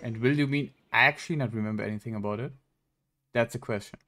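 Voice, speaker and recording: A man's voice: medium pitch, fast talker, sharp tone. A young man streaming a game indoors, giving casual, offhand, blunt live reactions. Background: faint game music.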